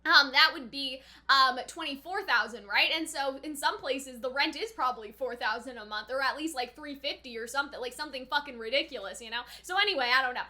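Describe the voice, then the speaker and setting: A young woman giving casual, excitable reaction commentary as a YouTuber, rambling and giggly, drifting into tangents. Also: sits high, a little fast, few pauses. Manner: giggly, rambling, animated, filler-heavy tangents. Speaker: YouTuber reacting to an anime episode.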